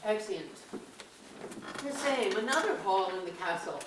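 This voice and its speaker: A woman's voice: mid-range voice, animated, unhurried. A woman reading out stage directions in a scripted manner at a staged play reading. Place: museum auditorium staged reading. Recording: echoey, noisy auditorium from far off.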